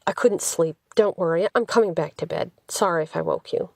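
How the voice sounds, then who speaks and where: A woman's voice: fairly low pitch, a little fast, gravelly, darkish tone, no room sound, studio quality. A woman voicing an earnest, devoted young man in an audiobook story, sincere and persuasive. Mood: reassuring.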